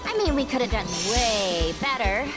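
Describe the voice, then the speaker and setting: A woman playing a brash, excitable, boastful teenage girl in a video game, with a sassy delivery. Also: fairly high pitch, normal speed. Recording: loud music behind the voice. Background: loud game music.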